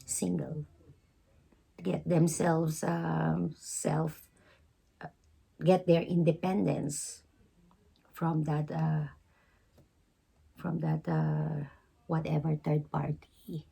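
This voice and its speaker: Hoarse, gravelly woman reading tarot slowly. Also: low-pitched, level tone, dark tone. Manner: slow, halting, many filler pauses. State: losing her voice. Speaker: tarot reader.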